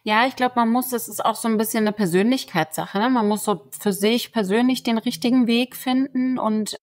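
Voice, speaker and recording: A young woman whose first language is Turkish, a lawyer answering interview questions in a relaxed, chatty way. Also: fairly high pitch, moderate pace. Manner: relaxed, chatty, full of fillers like "genau". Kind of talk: casual conversation.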